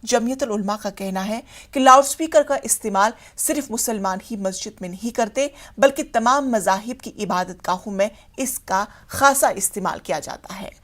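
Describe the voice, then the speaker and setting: A woman's voice: medium pitch, normal speed, few pauses. A woman news presenter reading a scripted report in a formal manner.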